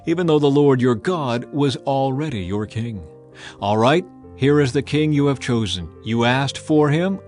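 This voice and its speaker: A man's voice: medium pitch, natural voice. A man voicing a stern aged male prophet who addresses a nation in a solemn, admonishing way, in a dramatized scripture reading.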